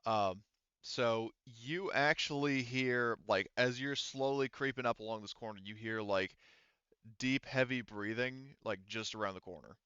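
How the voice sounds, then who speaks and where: Bright, clear-voiced man running a game. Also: medium pitch, a little slow, dry recording, highs cut off. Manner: casual, profane. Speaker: game master. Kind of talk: running a tabletop roleplaying game.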